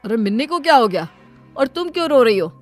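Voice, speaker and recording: A blunt, angry cartoon father, played by a woman, who scolds his family in a high voice. Soft music sits faintly behind him. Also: very animated, fast talker. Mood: stern. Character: stern angry middle-aged father.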